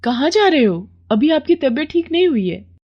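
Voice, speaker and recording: A woman voicing a gentle, worried wife of a poor labourer in a cartoon moral story. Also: high-pitched, sing-song, moderate pace, lo-fi sound, pitched above the performer's own voice. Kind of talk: animated moral story voice acting.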